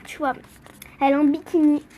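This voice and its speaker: A young female reader reading a children's book aloud in a halting, playful way, word by word. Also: high-pitched, level tone, muffled recording. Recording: faint background noise.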